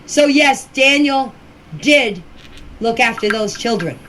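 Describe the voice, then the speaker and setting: An older woman giving an informal personal account in a deliberate, halting way, with short emphatic phrases, recorded as an amateur voice message. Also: a little high, expressive, background noise. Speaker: relative recounting events. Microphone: voice clip recorded for group chat.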